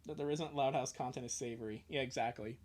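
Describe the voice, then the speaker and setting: A man's voice: medium pitch, fast, very noisy. A man hosting a livestream, chatting casually with viewers in a jokey, exclamatory way. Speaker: livestream host.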